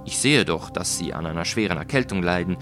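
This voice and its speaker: A man voicing a cunning, vengeful gentleman in a story, flattering and coaxing with feigned concern for a friend. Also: low voice, brisk, soft background music. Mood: falsely concerned. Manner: flattering, falsely solicitous, coaxing. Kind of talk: dramatised audiobook reading.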